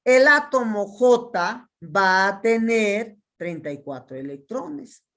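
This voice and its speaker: Slow-paced Peruvian woman teaching chemistry. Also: medium pitch, expressive, fairly bright tone. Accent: Peruvian Spanish. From Peru. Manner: asks students questions and answers them herself, counting step by step. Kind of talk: classroom lecture solving exercises.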